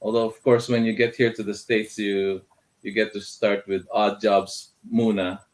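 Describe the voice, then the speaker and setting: A very deep-voiced middle-aged man from the Philippines speaks as an interview guest. He talks in a hesitant, halting way, full of fillers, with Tagalog words in his English. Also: little pitch movement. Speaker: guest, filmmaker. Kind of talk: casual interview talk.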